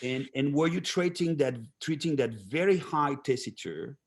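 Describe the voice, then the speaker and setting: A man's voice: on the high side, normal speed. A man with a French accent hosting an interview, encouraging the guest and finishing his sentences. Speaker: host, conductor. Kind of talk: interview talk.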